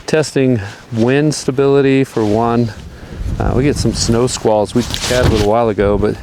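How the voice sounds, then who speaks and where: A man casually talking through his camping gear outdoors in strong wind, relaxed and rambling, chuckling now and then, recorded on a camera mic. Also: medium pitch, normal speed. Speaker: outdoor vlogger. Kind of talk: casual gear-testing vlog. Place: outdoors in a windy backyard.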